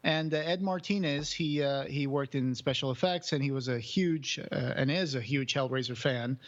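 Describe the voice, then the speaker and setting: A man's voice: mid-range voice, normal speed, lo-fi sound. A man co-hosting a podcast in casual chat, rambling with many restarts and fillers. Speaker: podcast co-host.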